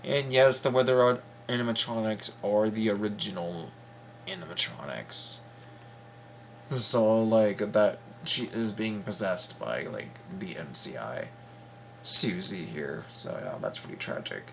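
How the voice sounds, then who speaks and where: A man's voice: lowish voice, animated, unhurried, creaky, a bit bright. A man giving casual game reaction commentary as host, stammering and repeating phrases in slangy, profane talk. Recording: noticeable background noise.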